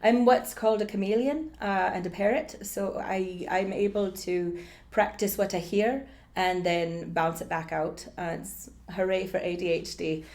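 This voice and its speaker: A woman putting on an Irish accent as she gives an informal lesson on accents, explaining haltingly and thinking aloud as she coaches. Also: mid-range voice, fairly even intonation, a little mellow. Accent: put-on Irish. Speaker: accent coach giving a demonstration.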